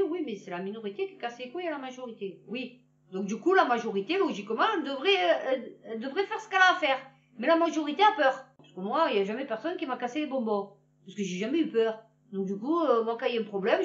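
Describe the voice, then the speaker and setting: A woman with a Marseille accent rants in a blunt, slangy way and chuckles at her own jokes. Her fairly high voice swings up and down a lot. She sits back from the mic in an echoey room, and the sound is a little dull. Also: far from the mic. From Marseille, France. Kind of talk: casual political rant to camera.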